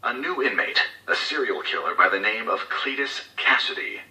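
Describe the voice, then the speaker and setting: A man's voice: fairly low pitch, animated, normal speed, bright, forceful tone, reverberant, distant mic, rough recording. An actor playing a cold, scheming male corporate executive who gives covert orders in formal, clipped, authoritative speech for a game audio log. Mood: businesslike, menacing.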